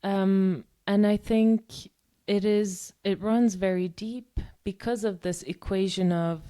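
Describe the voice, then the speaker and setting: A woman's voice: mid-range voice, fairly even intonation, slow, mellow. A woman co-hosting a discussion podcast, talking in long, thoughtful stretches full of "um" and "you know". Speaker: podcast co-host.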